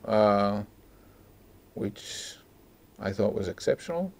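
A man discusses film casually as a podcast co-host, in a hesitant, halting way. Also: on the low side, animated, gravelly, a little mellow. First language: Finnish. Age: 40s. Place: small indoor stage with curtains, seated at a table.

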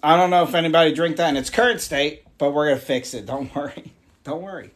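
A man in his forties, hoarse from losing his voice, chatting upbeat as he demonstrates mead making live in a small room. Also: fairly high pitch, fast talker, echoey room. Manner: chatty, upbeat, explains as he works. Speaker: meadery owner hosting a live stream. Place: small home meadery room, indoors.

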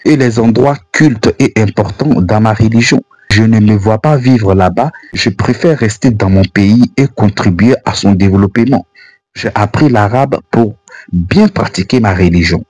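A man's voice: on the low side, moderate pace, darkish tone, dry recording, soft background music. Earnest, admonishing African man. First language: an African language. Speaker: Islamic channel narrator. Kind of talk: scripted voice-over commentary.

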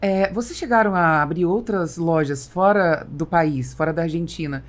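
A middle-aged Brazilian woman hosts a conversational podcast interview. Her voice sits a little low for a woman. She speaks into a close studio microphone in a small treated room. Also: normal speed. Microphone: close boom-arm studio microphone.

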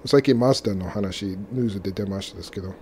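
Halting man, mellow voice. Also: fairly low pitch, a little hiss or hum. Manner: halting non-native speech with frequent restarts and laughs. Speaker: co-host. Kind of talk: casual radio chat.

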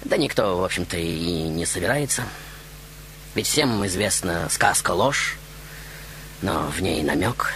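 An actor playing a theatrical showman who tells a Baroque composer's life as his own, in a grandiose, ironic monologue with pointed pauses. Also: sits low, expressive, a bit bright, slight background noise. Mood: wry, teasing. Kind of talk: theatrical monologue, radio show narration. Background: music.

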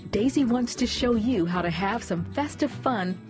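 A woman reading a scripted TV introduction in a smooth, polished voice-over. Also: fairly high pitch, lively intonation, normal speed, a little mellow. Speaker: narrator. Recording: voice-over over clear music.

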